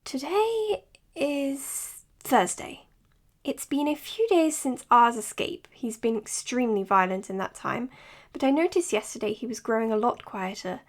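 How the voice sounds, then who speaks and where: Woman psychologist, fairly high pitch, measured, earnest, clinical case notes, audio drama. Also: lively intonation. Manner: measured, matter-of-fact. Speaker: doctor.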